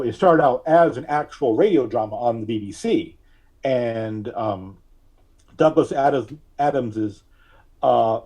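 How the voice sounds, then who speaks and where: A man is being interviewed on a podcast in a relaxed, casual way. His voice is mid-pitched and notably mellow. He speaks haltingly, working out his thoughts as he talks. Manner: halting, thinking aloud. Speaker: podcast guest; audio drama writer.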